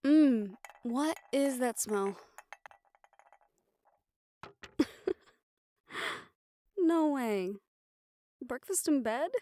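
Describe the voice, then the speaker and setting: A playful, caring siren-banshee, acted by a woman for an intimate audio roleplay. Her voice sits a little high and she takes her time, teasing her partner with open affection. The recording is close and dry, with hardly any room sound. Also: a little mellow, no room sound.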